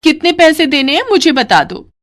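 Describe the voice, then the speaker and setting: A woman's voice: high voice, sing-song, moderate pace. A woman voicing a caring rich man's wife who fondly chides her husband in a cartoon story. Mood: fond, chiding. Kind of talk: Hindi cartoon story acting.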